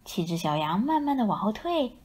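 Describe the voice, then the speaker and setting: 一位女性用富有表现力的方式给孩子朗读绘本故事。. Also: female voice, medium pitch, sing-song, moderate pace, a little mellow, husky. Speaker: storyteller. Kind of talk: expressive children's picture book narration.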